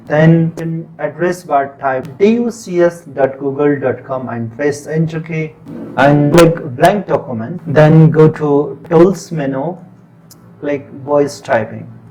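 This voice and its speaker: A man with a Nepali accent, a native Nepali speaker, talking through a software tutorial in plain, unhurried step-by-step instructions. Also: a little high, slight background noise, poor audio. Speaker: tutorial presenter. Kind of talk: screen-recorded software tutorial.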